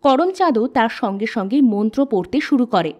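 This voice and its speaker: A woman narrating a spooky folk tale in a steady, scripted storytelling manner. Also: a little high, a little fast.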